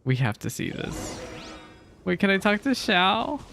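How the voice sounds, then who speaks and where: A woman's voice: medium pitch, big pitch swings, unhurried, gravelly, very noisy. A woman giving casual, giggly reaction commentary as she plays a video game. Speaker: gaming streamer.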